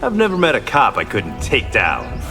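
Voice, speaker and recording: A man's voice: mid-range voice, wildly swooping pitch, normal speed, gravelly. A boastful ex-gangster swordsman. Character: cocky male ex-gangster swordsman. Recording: game clip with music behind.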